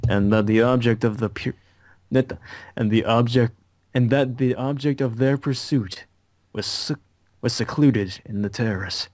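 Guy reading visual novel narration, average pitch, dry sound. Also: measured pace, a little mellow, dry recording.

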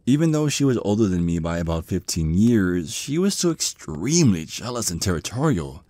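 Man acting a deep-voiced, shy, stammering vampire. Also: big pitch swings, mellow, no room sound. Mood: shy, explaining. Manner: nervous stammering, halting, awkward rambling. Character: shy, flustered young male vampire on a first date. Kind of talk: ASMR roleplay acting.

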